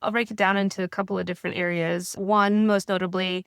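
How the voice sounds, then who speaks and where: Woman recovering from a cold, smooth voice. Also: medium pitch, little pitch movement, quick pace, dry recording, studio quality. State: getting over a cold. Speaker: guest. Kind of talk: interview conversation.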